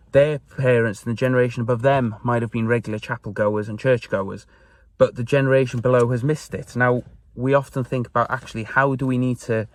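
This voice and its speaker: A man's voice: mid-range voice, no room sound. A man in his twenties with a Welsh accent, a native Welsh speaker, earnestly sharing a personal reflection on faith from his parked car. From Wales. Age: 20s. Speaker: church youth member sharing faith. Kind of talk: personal faith talk to camera.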